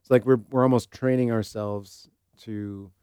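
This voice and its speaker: A man's voice: fairly low pitch, steady tone, a little slow, dark tone, smooth, no room sound, clean studio sound. A man hosting a podcast in casual conversation, thinking aloud in loose, informal sentences. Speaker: podcast host.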